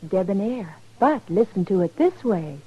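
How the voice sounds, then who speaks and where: A woman playing a cheerful, sociable pitchwoman, poised and deliberate, in a vintage radio commercial. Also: sing-song, breathy edge, faint music behind. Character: bright, sociable young female soft-drink ad pitchwoman. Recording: dull vintage radio recording.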